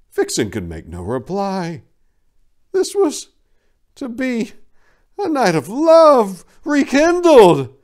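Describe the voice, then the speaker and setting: A man in his forties giving a theatrical, emphatic, mock-serious dramatic reading of a story. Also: on the high side. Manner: theatrical, emphatic, mock-serious with big swells and hushed drops. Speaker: reader. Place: small indoor room lined with bookshelves. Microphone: lapel microphone.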